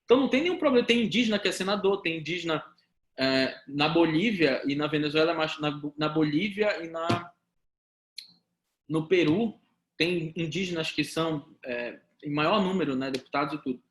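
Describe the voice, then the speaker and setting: A man in his thirties with a Paraense Brazilian accent teaches a law class, firing rhetorical questions and addressing his students directly. His voice sits a little high for a man. The sound carries a noticeable room echo. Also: animated, normal speed. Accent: Paraense Brazilian Portuguese. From Pará, Brazil. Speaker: law lecturer. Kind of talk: recorded law lesson.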